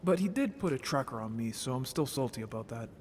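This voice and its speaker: A man's voice: mid-range voice, expressive, quick pace, a little mellow, slight background noise, close to an everyday voice. A man voicing a naive, curious young merfolk in an audio drama, talking casually and expressively with earnest cheek. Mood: defensive, indignant. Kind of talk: scripted audio drama roleplay.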